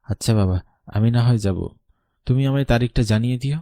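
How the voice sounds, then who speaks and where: A man's voice: medium pitch, fast talker, mellow, dry recording, clean studio sound, natural voice. A man playing a polite, dutiful young landlord's son in love, in a cartoon story. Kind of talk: Bengali cartoon story voice acting.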